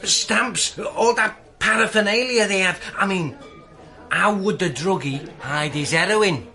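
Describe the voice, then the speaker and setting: A man's voice: sits high, a little slow, sharp tone, heavily acted. A man acting a blunt, down-to-earth police sidekick with a Northern English accent in a radio drama. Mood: puzzled.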